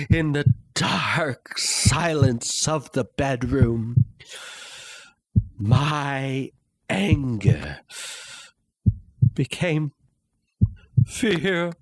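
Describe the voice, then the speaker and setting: A man playing an obsessive, unhinged murderer in a dramatic gothic horror reading, halting and theatrical with suspenseful pauses. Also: medium pitch, no room sound, exaggerated voice. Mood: frenzied anger, fear. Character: obsessive, unhinged murderer confessing his crime.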